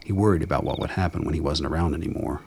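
A man with a gravelly voice reading scripted dramatic narration in a measured, brooding storytelling manner. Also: a bass voice, a little mellow, a little hiss or hum.

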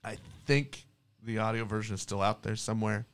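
A man with a somewhat low voice hosts a relaxed conversational interview. He feels his way into questions haltingly, restarting phrases as he goes. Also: clear, resonant tone. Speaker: podcast host. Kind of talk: casual interview chat.

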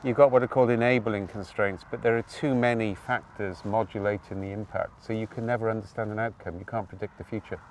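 A man from Wales telling his story outdoors in an interview, animated, with quick asides and tag questions. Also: fairly low pitch, distant mic, a little hiss or hum. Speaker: guest. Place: outdoors on open hills.

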